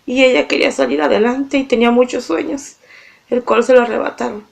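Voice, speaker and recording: A woman giving halting spoken testimony, hesitant and plain-spoken as she appeals for justice. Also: sits high, fairly even intonation, gravelly. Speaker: victim's relative appealing for justice.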